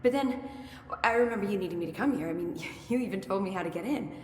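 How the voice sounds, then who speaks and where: A woman's voice: medium pitch, animated, fast, darkish tone, lightly acted. An actress playing an eager, unflappable young woman scientist who talks in a chatty, analytical way in a video game. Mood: puzzled, breezy. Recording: echoey hall, faint ambient noise.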